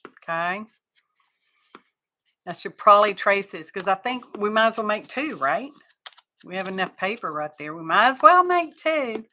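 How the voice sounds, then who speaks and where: A woman's voice: medium pitch, animated, normal speed, pauses often, sharp tone. A middle-aged woman hosting a craft live stream, chatting casually and rambling to her viewers as she works.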